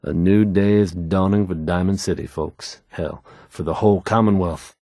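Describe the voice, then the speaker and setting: An actor playing an assured male radio host in a video game, speaking in a measured, sincere, quietly inspirational way. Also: low voice, fairly even intonation, no echo, clean studio sound. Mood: earnest, hopeful. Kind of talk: in-game radio news acting.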